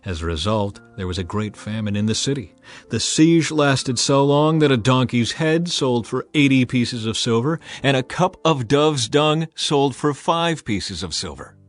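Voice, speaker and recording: A man narrating a dramatized scripture reading in a measured, earnest way. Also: medium pitch, animated. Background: faint background music.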